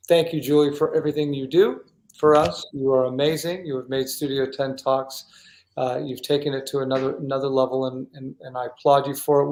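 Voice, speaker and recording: A man hosting a talk show in a casual, heartfelt way, speaking effusively and with emphasis. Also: fairly high pitch. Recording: roomy, echoey sound.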